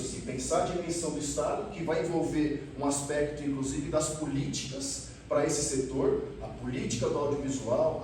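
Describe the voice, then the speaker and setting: A man with a Brazilian accent giving an academic presentation as a professor, explaining step by step and often tagging phrases with a checking question. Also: fairly high pitch. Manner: explanatory, often ends phrases with a rhetorical "né" tag. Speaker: university professor presenting research. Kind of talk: academic conference presentation, semi-improvised. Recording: echoey room, distant mic, clear background noise.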